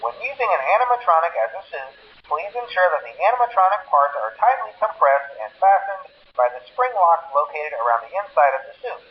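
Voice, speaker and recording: Over a dull, slightly noisy phone recording comes an awkward corporate trainer from a video game. His voice sits fairly high and swings around in pitch. He stumbles politely into each message, then delivers procedures with deadpan composure. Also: fairly quick, hardly any pauses. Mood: awkward, matter-of-fact. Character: nervous, cheerful corporate phone trainer at a pizzeria. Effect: telephone filter. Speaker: employee training caller. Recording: muffled lo-fi phone recording with faint noise.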